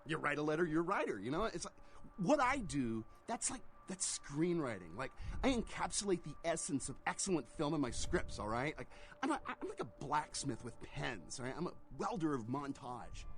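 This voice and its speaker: An actor playing a pretentious, excitable male hotel clerk and would-be screenwriter in a video game, pompous but full of slacker filler. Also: a little high, moderate pace. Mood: self-important.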